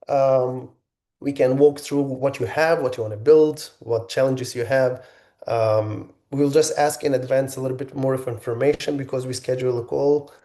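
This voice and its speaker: Halting man from Egypt, mellow voice. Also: mid-range voice. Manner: halting, many restarts and fillers. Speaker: webinar host. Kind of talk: webinar presentation.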